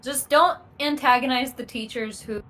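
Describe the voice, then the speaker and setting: A woman's voice: high voice, normal speed, fairly bright tone, slight background noise, low-quality recording. A woman co-commentator in casual banter, blunt and sweary. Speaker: reaction show co-commentator.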